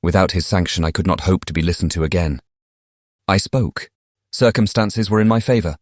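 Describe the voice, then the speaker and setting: A man performs a young English gentleman from a classic novel audiobook, with a British accent and a low-pitched voice. He is contrite and impassioned, justifying himself in eloquent, formal period phrasing. The sound is dry, with no room around it. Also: fairly quick, no echo, natural voice. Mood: agitated. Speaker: audiobook cast reader. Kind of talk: dramatized audiobook reading of a letter in a classic novel.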